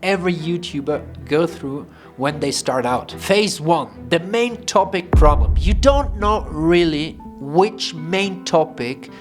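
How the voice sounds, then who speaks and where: A man's voice: on the high side, expressive, slow, hardly any pauses. A man reading scripted voice-over narration with a punchy, teasing promo delivery. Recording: background music under the voice-over.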